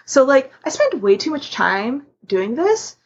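A woman in casual podcast conversation, explaining her method step by step in a halting way. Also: sits high, big pitch swings, breathy edge. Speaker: podcast panelist. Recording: muffled lo-fi voice recording.

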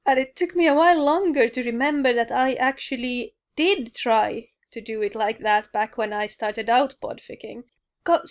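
A woman's voice: a little high, lo-fi sound. A woman recording casual personal commentary as a podcast contributor.

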